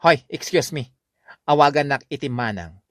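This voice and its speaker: Joyce, swooping camp Ilocano beautician. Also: male voice, on the high side, animated, moderate pace, a bit bright, no echo, studio quality. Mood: flustered, camp. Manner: camp, exaggerated, coy. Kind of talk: radio comedy drama acting.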